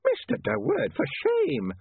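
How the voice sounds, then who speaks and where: Guy doing a flustered prim landlady, high fussy voice, audiobook, tinny band-limited sound. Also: wildly swooping pitch, brisk, breathy edge, no room sound, full character voice. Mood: affronted. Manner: fussy, high-pitched exclaiming. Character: flustered, prim middle-aged landlady, easily affronted. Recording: muffled narrow-band, phone-like radio sound.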